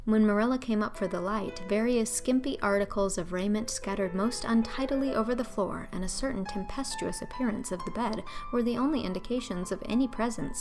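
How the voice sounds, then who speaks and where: A woman narrating an audiobook in a scripted storybook reading style. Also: medium pitch.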